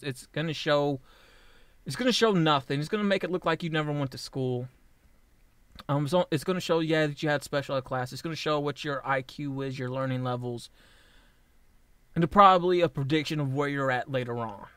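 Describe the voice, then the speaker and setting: A man in his late twenties with a slightly high voice talks straight into the mic in a casual vlog. He rambles, swears bluntly and trips over restarts. The sound is very dry and close, with no room echo. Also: a bit bright, smooth. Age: 20s. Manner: halting with frequent restarts. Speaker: vlogger. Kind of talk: casual personal vlog monologue.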